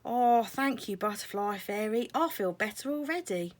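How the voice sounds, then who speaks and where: A woman's voice: mid-range voice, animated, normal speed, pitched above the performer's own voice, natural voice. A woman voicing a friendly, sleepy male caterpillar in a children's storybook, in a drowsy, yawning manner. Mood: friendly, reassuring. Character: friendly sleepy male caterpillar turned moth, gentle and kindly.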